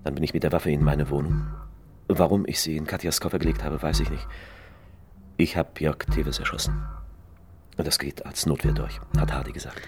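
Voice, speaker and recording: An actor in a German radio crime drama playing a lonely, insecure and lovesick young detective who speaks haltingly. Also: male voice, extremely low pitch, steady tone, over music. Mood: subdued, resolute. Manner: halting, stammering. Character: lonely, insecure, lovesick male private detective, easily provoked.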